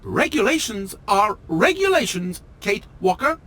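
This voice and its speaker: A man's voice: high voice, sing-song, measured pace, fairly bright tone, exaggerated voice. A man playing a pompous, melodramatic automaton train engineer in a video game, with formal, fussy and theatrical diction. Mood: stiffly officious. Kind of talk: video game character acting.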